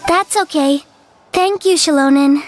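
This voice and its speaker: A frail little girl in a video game. The childlike voice is very high and takes its time, shy and polite, with faint music underneath. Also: unhurried. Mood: shy, grateful. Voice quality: small, childlike. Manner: polite, careful.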